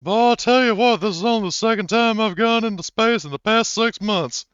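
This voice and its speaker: A folksy, drawling middle-aged Texan cartoon dad, as a man puts him on. His voice sits up high and comes out strong and loud. It is captured through a dull, muffled lo-fi microphone. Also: brisk, clear, resonant tone, no echo. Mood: proud.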